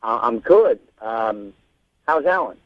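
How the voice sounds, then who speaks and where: A man's voice: medium pitch, lively intonation, slow, no echo. A man in an unscripted phone conversation over a dull, lo-fi line. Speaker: prank call target.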